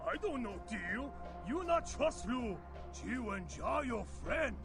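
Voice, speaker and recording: Game fortune teller: scheming, excitable, Chinese accent, slow high-pitched broken English. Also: male voice, music in the background, exaggerated voice. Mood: indignant, scheming. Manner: mocking, exclamatory broken English. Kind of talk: video game character acting.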